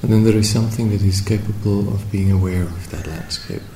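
A man leading a guided meditation as a teacher, speaking reflectively in short phrases with long pauses between them. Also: lowish voice, fairly even intonation, dark tone. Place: indoor room at a meditation retreat. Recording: roomy echo with faint background noise.

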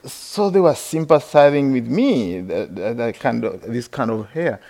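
A middle-aged man from Uganda, a Buddhist monk, giving a halting, explanatory dharma talk with many fillers at a meditation retreat. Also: medium pitch, lively intonation, creaky, mellow. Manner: laughs while telling stories.

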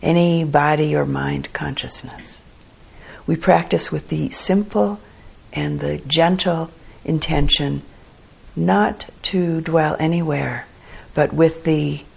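A woman meditation teacher leads a guided sit, speaking in gentle, unhurried phrases separated by long pauses. Her pace is very slow, and her voice has a gravelly, breathy husk. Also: deep voice. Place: meditation hall.

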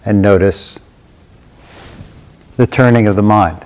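A man leading a guided meditation, speaking in slow, sparse phrases with long silences between them. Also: lowish voice, a little mellow. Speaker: meditation teacher.

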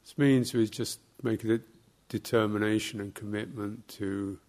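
Slow, creaky-voiced man guiding meditation. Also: fairly low pitch, fairly even intonation, a little mellow. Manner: measured, halting, with long pauses between short phrases. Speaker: meditation teacher. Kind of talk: guided meditation instruction.

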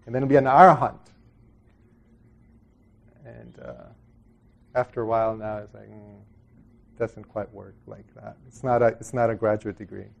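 A man's voice: lowish voice, steady tone, moderate pace, vocal fry, pauses often. A middle-aged man from El Salvador giving an informal dharma talk to a group, conversational and reflective, often trailing off into asides. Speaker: Buddhist meditation teacher.

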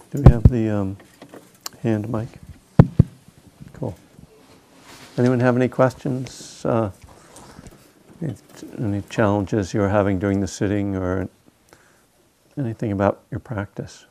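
A man's voice: on the low side, warm tone, a little hiss or hum. A man leading a meditation group's question time, easygoing and wry, with many fillers. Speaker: meditation teacher. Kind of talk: relaxed Q&A guidance. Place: meditation hall.

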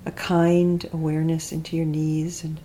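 A woman teacher leading a guided body scan meditation, speaking in gentle, reflective, unhurried instructions with long pauses. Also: deep voice, completely flat delivery, a little hiss or hum.

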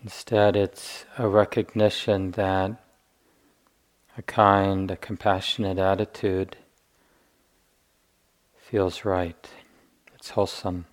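A man with a fairly low, very even voice leads a guided meditation. He speaks very slowly and gently, leaving long pauses between short, deliberate phrases. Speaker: meditation teacher.

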